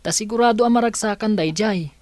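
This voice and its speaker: A man's voice: sits high, fairly quick, darkish tone, no room sound, lightly acted. A man playing an earnest young male student and devoted grandson in a radio drama, with a pleading manner. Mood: earnest, hopeful. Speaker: voice actor.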